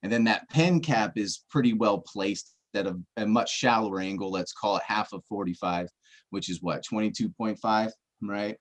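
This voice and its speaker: A man's voice: fairly low pitch, normal speed. A man on a casual livestream chat, walking through puzzle clues step by step, joking now and then and checking with his listener. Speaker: treasure-hunt searcher presenting clues.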